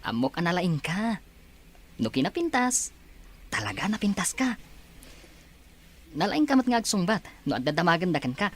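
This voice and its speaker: A woman acts out an earnest older male schoolmate for an audio drama. The voice sits low for a woman, with a coaxing, flattering manner meant to persuade. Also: normal speed, long pauses. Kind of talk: Ilocano audio drama acting.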